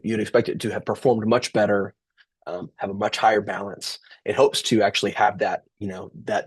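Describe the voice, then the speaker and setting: A man's voice: fairly low pitch, vocal fry, breathy edge, dry recording, poor audio. A young man with a head cold and a nasal voice, casually presenting a webinar over a video call. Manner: relaxed, filler-heavy, restarts. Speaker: webinar host.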